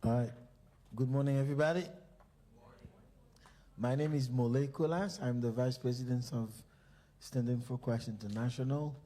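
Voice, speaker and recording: A man's voice: medium pitch, fairly even intonation, normal speed, pauses often, dark tone. A man, vice president of a ministry, introducing himself on a church panel in a cordial, slightly hesitant way.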